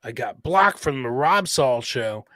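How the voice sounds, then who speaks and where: A man hosting a casual livestream podcast, effusively plugging and shouting out his guests with profane, brotherly familiarity. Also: medium pitch, animated, measured pace, no echo, studio quality. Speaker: podcast host. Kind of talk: casual livestream chat with plugs and shout-outs.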